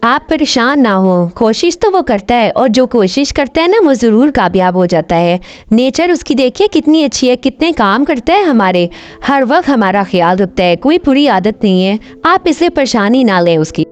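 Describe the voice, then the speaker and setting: A woman playing a gentle, loving mother in a children's moral story, tender and encouraging, on a dull lo-fi recording. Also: on the high side, sing-song, normal speed, no room sound, faint music behind. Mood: reassuring. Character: gentle, loving mother reassuring and advising her family.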